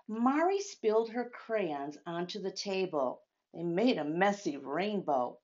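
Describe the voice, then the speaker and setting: Slow-paced woman reading to young children. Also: on the low side, dull audio. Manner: simple, deliberate phrasing addressed to children, with questions. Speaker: children's story-time teacher. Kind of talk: read-aloud storytelling and teaching for young children.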